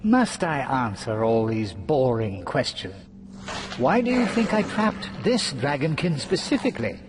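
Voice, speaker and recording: An actor playing a gleeful, taunting trickster villain in a video game, with mocking, theatrical relish. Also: male voice, medium pitch, very animated, measured pace, background noise. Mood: sardonic delight. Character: gleeful, taunting male trickster schemer holding court.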